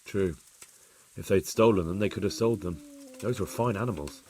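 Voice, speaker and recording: A man's voice: low-pitched, moderate pace, a little mellow, lightly acted. A man playing an earnest, deferential retainer in a video game, speaking respectfully and plainly to his superior. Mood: questioning. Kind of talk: video game cutscene acting.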